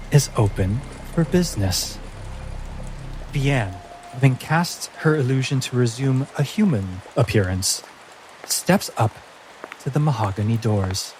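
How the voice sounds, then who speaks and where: A male game master sets the scene for a tabletop game. He tells it very slowly and descriptively in a medium-pitched voice, over quiet background music. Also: rough recording. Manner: measured, descriptive, storytelling. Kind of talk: scripted-sounding tabletop game narration.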